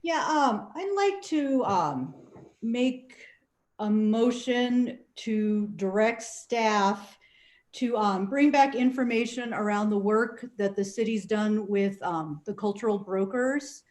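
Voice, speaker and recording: A middle-aged woman whose first language is Spanish, speaking as a council member in a measured, explanatory way and pausing to find her words. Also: medium pitch, animated, a little mellow. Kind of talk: council meeting remarks. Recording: echoey room sound.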